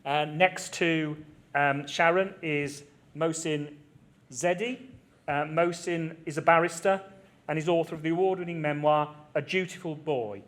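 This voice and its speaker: A man's voice: fairly high pitch, measured pace. A man chairing a literary panel, introducing the speakers to an audience.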